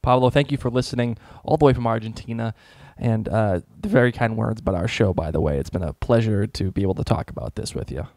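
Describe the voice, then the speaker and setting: A man's voice: on the low side, fast, a little mellow, no room sound, clean studio sound. A man hosting a podcast in casual, informal chat, often hesitating mid-sentence. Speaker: podcast host.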